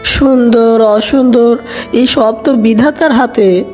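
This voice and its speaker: A woman voicing a gentle, wounded young outcast duckling in an animated fairy tale. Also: on the high side, slow, soft background music. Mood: sorrowful.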